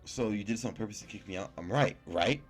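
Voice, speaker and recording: A man's voice: fairly low pitch, fast, husky, faint music behind. A man voicing a hurt, accusing young captive woman from a visual novel, plaintive and questioning. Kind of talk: read-aloud of translated game dialogue.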